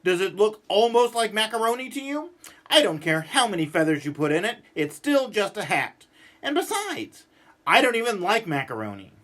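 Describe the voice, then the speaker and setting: A man voicing a cranky, stubborn, exasperated man who grumbles and rants in an animated storybook read-aloud. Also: sits high, normal speed, full character voice. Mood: grumpy complaining. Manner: exasperated, ranting, emphatic.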